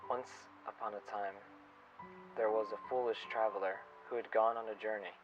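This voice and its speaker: A man playing a reflective, wistful staff member recording an audio log, speaking in a halting, pensive and sincere way. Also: fairly low pitch, steady tone, lightly acted. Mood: gentle, solemn storytelling. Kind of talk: audio log monologue with storytelling. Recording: dull lo-fi recording with music. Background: music under the voice.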